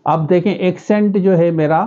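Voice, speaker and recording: This is a middle-aged man from Pakistan whose first language is Sindhi, and his Sindhi accent comes through as he talks. His voice sits a bit high yet sounds mellow and warm. He gives earnest advice to camera from a small room, and the recording is slightly dull and lo-fi. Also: moderate pace. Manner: earnest, gestures with both hands. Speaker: educationist giving interview advice. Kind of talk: direct-to-camera advice vlog.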